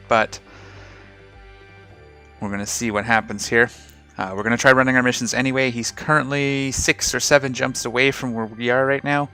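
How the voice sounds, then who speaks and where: Bright-voiced man, a little hoarse. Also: mid-range voice. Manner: relaxed, rambling, explains ship fittings. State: slightly rough voice after a night of singing. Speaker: gaming channel host. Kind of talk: casual gameplay commentary.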